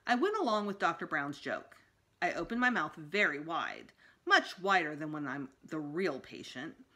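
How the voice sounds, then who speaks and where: A woman's voice: deep voice, sing-song, moderate pace, bright, forceful tone, slightly rough. A middle-aged woman reading a children's book aloud expressively as a school storytime reader. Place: small room indoors at home.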